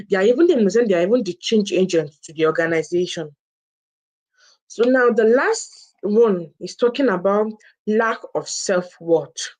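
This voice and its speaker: A woman's voice: medium pitch, a little mellow. A woman co-hosting a book review, explaining informally and often restating and restarting her phrases. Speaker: co-host presenting a book review.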